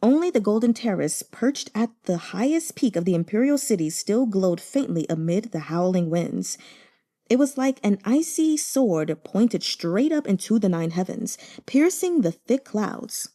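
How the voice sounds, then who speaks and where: Mellow, expressive woman reading a story. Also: medium pitch, measured pace. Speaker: audiobook reader. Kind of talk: dramatic narration of a novel.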